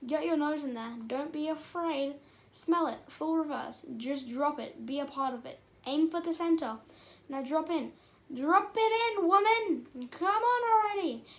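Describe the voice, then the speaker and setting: A woman voicing a brave, excitable male honeybee hero who urges others on in an emphatic, bossy way. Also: high voice, normal speed. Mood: urgent, bossy.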